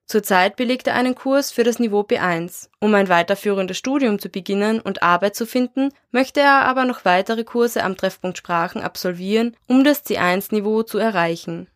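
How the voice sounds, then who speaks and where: A woman narrating a scripted university radio report in a measured, even delivery. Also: medium pitch.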